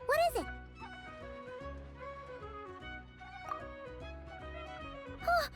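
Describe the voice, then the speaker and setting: A woman voicing a small, excitable, childlike female game companion who talks in a casual, cheeky, exclamatory way. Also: fast, music in the background, natural voice. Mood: curious, chirpy. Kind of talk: animated video game voice acting.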